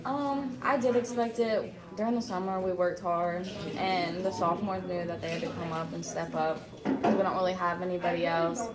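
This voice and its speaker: A woman's voice: on the low side, steady tone, normal speed. A teenage girl basketball player giving brief, hesitant answers in an interview. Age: teens. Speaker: high school basketball player. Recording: echoey gym with loud crowd.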